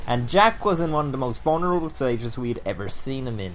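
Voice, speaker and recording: A man's voice: medium pitch, animated, fairly quick, a little hiss or hum. Emphatic man narrating a countdown. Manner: emphatic, casually profane. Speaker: video countdown host. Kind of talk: enthusiastic scripted review narration.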